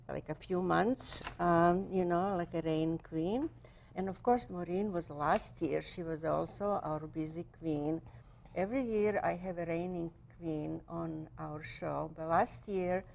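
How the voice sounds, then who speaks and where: A woman in her sixties hosting a cable TV interview show, chatty and enthusiastic, with halting speech full of fillers, restarts and non-native phrasing. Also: fairly low pitch, creaky, a little mellow, a little hiss or hum. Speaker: TV show host.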